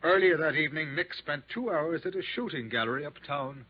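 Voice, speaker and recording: Casting: male actor as good-natured, grumbling homicide cop, very lively inflection, 1950s radio recording with slight noise. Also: on the high side, brisk, close to an everyday voice. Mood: matter-of-fact. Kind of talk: 1950s radio detective drama acting. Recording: muffled vintage 1950s radio, faint noise.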